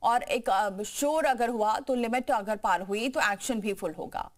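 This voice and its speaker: A female news anchor with a fairly high voice. She presents emphatically, chopping her sentences into punchy phrases. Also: measured pace, background noise.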